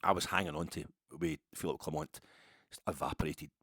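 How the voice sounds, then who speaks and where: Scottish male fan podcaster, low voice, very dry close sound, loose colloquial solo chat. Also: fairly even intonation, fairly quick, fairly bright tone, breathy edge, no room sound. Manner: rambling, wry, colloquial. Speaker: football fan podcast host.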